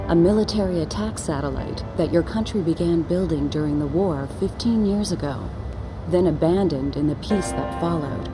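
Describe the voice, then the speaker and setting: A woman playing an enigmatic military major in a video game, calm and grave, briefing deliberately. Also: low-pitched.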